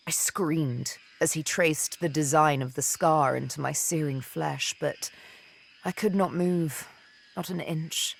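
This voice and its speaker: A woman playing a seductive, vengeful elven assassin and former slave in a video game, speaking in a deliberate, theatrical, teasing manner. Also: low voice, creaky, a little hiss or hum, exaggerated voice. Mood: haunted, bitter. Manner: deliberate, teasing, dramatic storytelling with pauses. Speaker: video game companion character.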